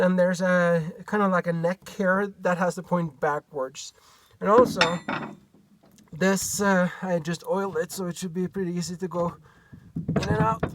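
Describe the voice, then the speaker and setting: A Norwegian man with a Norwegian accent, showing a hitch step by step in a halting way, full of fillers, recorded outdoors on a camera mic. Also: high voice, very noisy. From Norway. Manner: halting, searching for words, many fillers. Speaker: DIY vlogger. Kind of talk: hands-on how-to demonstration. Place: outdoors by a pickup truck bed.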